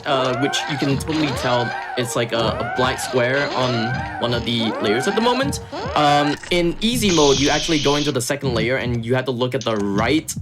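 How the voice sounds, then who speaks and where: A man giving chatty, giggly live commentary on a speedrun, with lots of fillers. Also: medium pitch. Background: game music.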